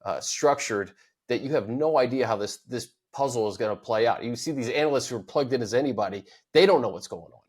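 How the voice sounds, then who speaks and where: Man, mid-range voice, podcast host, casual sports chat, quick and conversational. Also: medium pitch, husky. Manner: quick, conversational, builds on his co-host's points.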